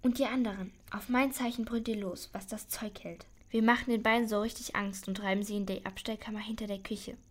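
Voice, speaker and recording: A woman's voice: medium pitch, fairly even intonation, fairly quick, hardly any pauses, natural voice. A female performer playing a determined, conspiratorial schoolgirl detective in a children's audio drama. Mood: determined, hushed. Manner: earnest, conspiratorial.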